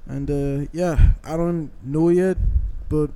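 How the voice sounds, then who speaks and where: A man's voice: on the high side, steady tone, takes it slowly, dark tone, smooth. A young man chatting casually as a podcast guest, hesitant and often repeating his phrases. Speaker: podcast guest, aspiring soccer player. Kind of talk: casual chat.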